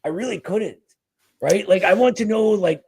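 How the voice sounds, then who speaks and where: A man's voice: high voice, moderate pace, vocal fry, darkish tone, slightly rough. A man hosting a live stream in casual chat, informal and often checking in with the listener.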